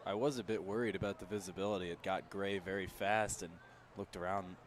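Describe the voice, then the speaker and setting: Lower-voiced male baseball color commentator. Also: steady tone, a little fast, a little hiss or hum. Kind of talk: live analytical sports commentary. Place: outdoor ballpark broadcast.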